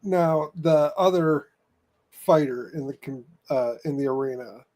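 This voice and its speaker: A man with a slightly high voice hosts a relaxed fan chat. He speaks haltingly, often searching for his words as he goes. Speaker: podcast host.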